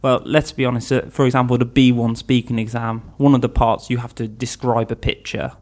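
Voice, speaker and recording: A man's voice: mid-range voice, level tone, a little fast. A young man from England, an English teacher, chatting casually in an interview, laughing easily and restarting his sentences.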